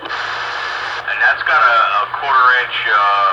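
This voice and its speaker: A man's voice: medium pitch, takes it slowly. A man chatting casually as an operator on a muffled, noisy CB radio.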